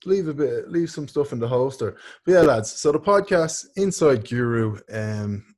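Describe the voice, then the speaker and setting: A man's voice: medium pitch, lively intonation, moderate pace, a little mellow. A young man co-hosting a podcast, rambling and joking with a lot of swearing in loose banter. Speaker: podcast co-host. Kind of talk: casual chat.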